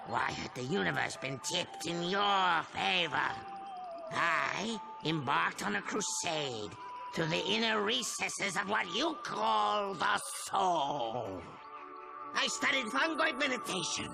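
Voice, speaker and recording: A man voicing a vengeful robot supervillain who rants bombastically, with loud game music under him. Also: sits high, exaggerated voice. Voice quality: gravelly, bombastic theatrical ranting.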